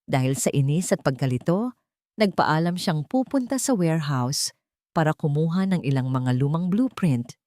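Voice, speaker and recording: Female narrator, Filipino accent. Dark, soft-edged low tone, dry sound, scripted dramatic storytelling. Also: expressive, normal speed, no echo.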